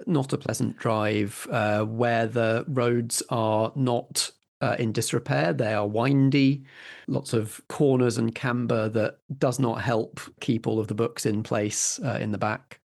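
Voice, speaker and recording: Creaky-voiced man running a tabletop game. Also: mid-range voice, fairly even intonation, slow pace, a little mellow. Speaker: game master. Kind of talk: narrating a tabletop roleplaying game.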